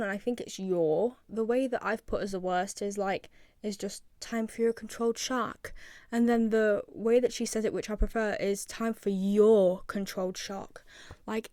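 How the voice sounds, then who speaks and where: A woman's voice: medium pitch, darkish tone, no echo. A young woman giving casual, rambling commentary as a video creator, with a self-deprecating manner.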